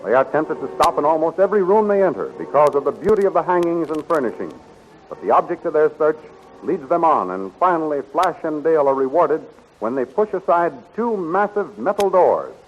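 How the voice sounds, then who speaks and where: A man's voice: a little high, big pitch swings, fairly quick. A man narrating a 1930s radio adventure serial as a dramatic storyteller. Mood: dramatic, suspenseful. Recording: muffled, hissy 1930s radio recording.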